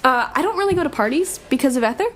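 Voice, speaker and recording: A woman's voice: high voice, animated, racing. A young woman playing a brash, sarcastic college-age thief in an audio drama, speaking in breezy, slangy banter. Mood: breezy, joking. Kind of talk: audio drama dialogue, casual and conversational.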